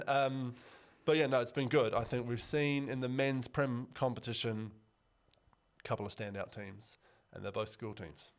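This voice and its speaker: A man's voice: mid-range voice, fairly even intonation, normal speed. A young man, co-hosting a casual sports podcast in an upbeat, chatty way and laughing easily. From New Zealand. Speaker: podcast co-host.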